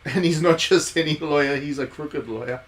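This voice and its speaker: A man's voice: on the high side, normal speed. A man giving casual, chatty live commentary as he streams a game, with wry asides. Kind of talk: casual live playthrough commentary, reading game text aloud.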